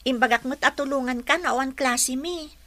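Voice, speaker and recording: Radio drama role: actress as helpful schoolgirl daughter, high bright voice. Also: fairly even intonation, fairly quick. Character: dutiful, helpful young student daughter.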